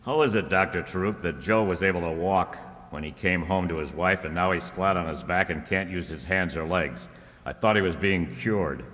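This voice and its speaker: A man's voice: low voice, normal speed, a bit bright. A man playing a blunt, loyal, tough investigator with informal, clipped speech in an old-time radio drama. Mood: challenging. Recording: echoey hall, background noise.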